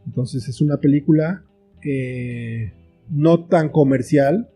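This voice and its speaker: A man's voice: medium pitch, takes it slowly, dark tone, slightly rough, faint music behind. A man with a Mexican accent giving reflective, explanatory answers as an interview guest in a conversational style. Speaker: interview guest, screenwriter and author.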